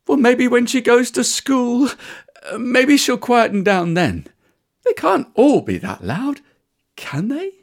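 A man's voice: very high voice, big pitch swings, slow, voice pushed up, exaggerated voice. A man voicing a weary, fretful mother in a told story, musing worriedly to herself. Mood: fretting.

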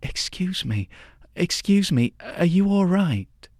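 A man's voice: sits high, big pitch swings, slow, a little mellow, no echo, studio quality, pitched above the performer's own voice, heavily acted. A man voicing a polite, bewildered young woman.

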